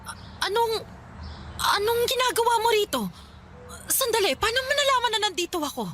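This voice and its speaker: A woman's voice: very high-pitched, lively intonation, fairly quick, a bit bright, a little hiss or hum. A mother who walked away. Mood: guarded, apologetic. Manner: apologetic but firm. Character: mother who left her family, middle-aged, guarded. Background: music.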